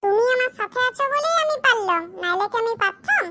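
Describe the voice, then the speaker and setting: A performer voicing a dutiful young village son in a comedy cartoon, with a shrill pitched-up voice. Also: lively intonation, fast talker, pushed and bright, heavily acted. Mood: agreeable.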